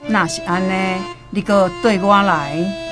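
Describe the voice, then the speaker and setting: A woman's voice: medium pitch, steady tone, takes it slowly, over music, close to an everyday voice. Woman acting a coaxing old roadside tempter. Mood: persuasive. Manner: coaxing, persuasive. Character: old roadside guide, sly persuasive tempter. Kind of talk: Taiwanese radio drama acting.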